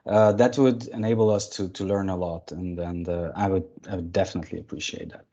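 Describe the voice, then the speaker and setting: A man from Hungary with a Hungarian accent, giving an informal tech presentation in a halting way with repeated words. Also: low-pitched, fairly even intonation, warm tone. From Budapest, Hungary. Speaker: guest presenter of a lightning talk. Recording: dull, band-limited online call audio.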